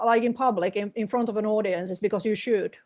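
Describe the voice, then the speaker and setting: A woman's voice: mid-range voice, fairly even intonation, fast talker, a little mellow. Thoughtful woman. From Finland. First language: Finnish. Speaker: interviewed author. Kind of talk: reflective interview conversation.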